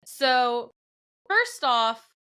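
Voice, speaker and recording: A woman from Canada with an English Canadian accent, co-hosting a podcast in chatty, animated storytelling. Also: on the high side, extremely slow, smooth. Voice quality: drops P sounds at the start of words. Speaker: podcast co-host. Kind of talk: casual chat.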